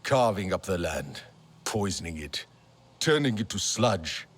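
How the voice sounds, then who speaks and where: A deep, husky alien warrior. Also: male voice, very animated, measured pace, heavily acted. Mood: bitter. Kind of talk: cinematic video game dialogue.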